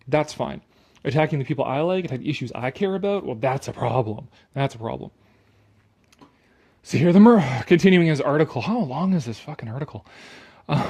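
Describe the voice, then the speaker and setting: A man's voice: a little high, darkish tone, slightly rough. A man reading an article aloud with casual, sarcastic commentary, blunt and dismissive, prone to swearing. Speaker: online commentator.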